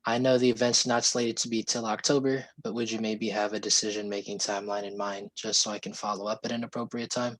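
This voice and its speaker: A hoarse man explaining software pricing and support on a video call, polite and steady. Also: on the low side, fast talker, hardly any pauses, slight background noise, low-quality recording. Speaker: sales representative giving a software demo. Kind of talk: video call sales pitch.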